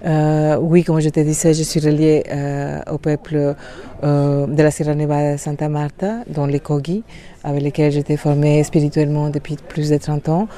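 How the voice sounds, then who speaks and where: A middle-aged woman from Colombia, speaking as an interview guest, hesitant with many pauses and fillers. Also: sits low, level tone, mellow. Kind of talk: interview conversation.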